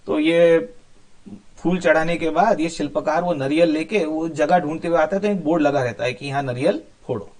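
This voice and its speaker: High-pitched man telling a story. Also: moderate pace, darkish tone. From India. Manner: explanatory, conversational asides, English words mixed in. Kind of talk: informal moral storytelling.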